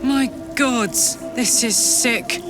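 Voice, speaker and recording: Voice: mid-range voice, music in the background. An actor playing a horrified, grieving onlooker in a video game. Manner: slow, appalled.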